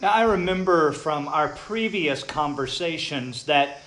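A man interviewing a guest, asking gentle questions and prompting with "mm-hmm" and "okay". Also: a little high, animated, unhurried, echoey room, a little hiss or hum, low-quality recording. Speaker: interviewing pastor. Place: ashram in India.

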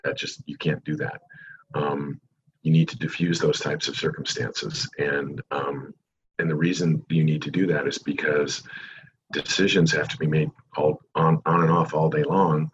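A middle-aged American man, a real estate agent, answering interview questions at length in a calm, measured way. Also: dull audio, noisy background. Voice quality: calming low voice. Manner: calm, measured, long-winded explanations.

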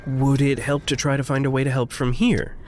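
An actor playing a gentle, attentive friend in an audio drama, careful and reassuring in how he talks. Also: medium pitch, flat delivery, a little fast, natural voice. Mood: concerned, calm. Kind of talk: naturalistic audio drama acting.

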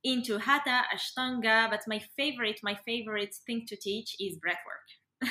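Woman, young adult, bright forward voice, roomy echo, casual interview guest. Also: on the high side, normal speed. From Morocco. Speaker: interview guest, yoga and breathwork facilitator. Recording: roomy, echoey sound.

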